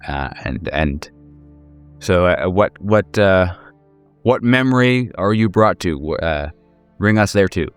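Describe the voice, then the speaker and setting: A man narrating an improvised tabletop game as game master, halting as he searches for words. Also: low voice, expressive, smooth, faint music behind.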